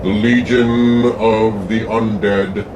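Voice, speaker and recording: An actor playing an ancient evil ghost in pulp radio melodrama, slow, grandiose and menacing. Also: male voice, fairly low pitch, level tone. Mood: grandiose wooing. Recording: distant mic with loud humming noise.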